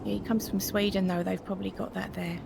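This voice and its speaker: A woman chatting in character during a roleplay game, gossipy and quick to laugh. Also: medium pitch, flat delivery, gravelly, background noise. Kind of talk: casual in-character chat.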